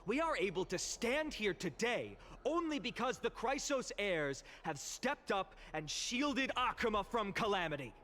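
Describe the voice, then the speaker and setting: Young game orator, high-pitched, sweeping intonation, impassioned, eloquent, emphatic, faint ambient noise. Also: male voice, sing-song, measured pace, few pauses, pushed and bright, heavily acted. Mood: impassioned, accusing. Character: impassioned young male orator addressing a citizens' assembly. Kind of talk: dramatic theatrical game voice acting, public oration.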